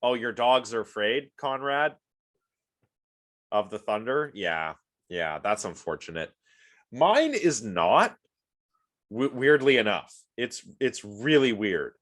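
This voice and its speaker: A man's voice: medium pitch, sing-song, slow pace, pauses often, fairly bright tone. A man giving a casual online lecture on a video call and reacting to the chat. He is sniffly from allergies and rambles with lots of fillers and restarts.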